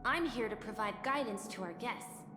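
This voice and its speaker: Actress as a high, timid young guide, echoey. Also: female voice, moderate pace, close to an everyday voice. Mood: polite, welcoming. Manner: polite, timid, earnest. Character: gentle, eager, apologetic young female guide. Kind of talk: video game voice acting. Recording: echoey hall reverb, music behind.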